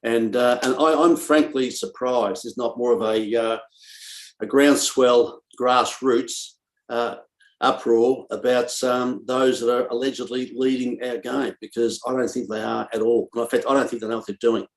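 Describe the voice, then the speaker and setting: An Australian man on a sports radio panel, scathing and sarcastic as he rants. His voice sits at a middle pitch and carries a roomy echo. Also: steady tone, normal speed. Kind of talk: casual sports talk radio.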